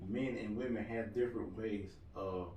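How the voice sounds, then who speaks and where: A married man co-hosting a casual podcast chat, loud-voiced, joking and rambling as he talks. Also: on the low side, fairly even intonation, moderate pace, slight background noise. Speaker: podcast co-host. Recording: roomy room, mic at a distance.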